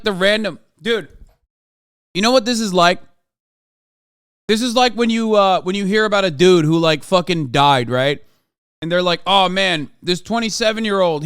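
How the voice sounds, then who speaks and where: A man's voice: high-pitched, fairly bright tone, clear, resonant tone, dry recording, clean studio sound. A man in his thirties ranting in casual reaction commentary, profane and exasperated. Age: 30s. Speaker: streamer reacting to clips.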